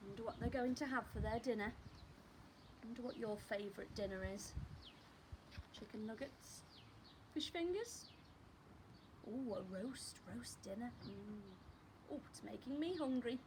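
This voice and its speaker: A woman reading at storytime for young children, expressive and chatting directly to her listeners. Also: on the high side, a little slow, pauses often, background noise. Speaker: primary school storyteller.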